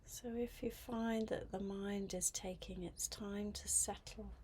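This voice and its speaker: A woman guiding a mindfulness meditation in a soft, breathy, half-whispered voice, gently and with long pauses. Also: medium pitch, steady tone, a little hiss or hum. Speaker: meditation guide.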